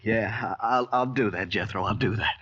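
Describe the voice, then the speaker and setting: A male actor portrays a fugitive former slave owner in a vintage radio play. His low voice is hesitant and uneasy, evasive in manner. The sound is dull and lo-fi. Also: moderate pace, very noisy, natural voice. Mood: nervous, evasive. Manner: hesitant, nervous. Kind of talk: old-time radio drama acting. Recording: muffled old radio broadcast.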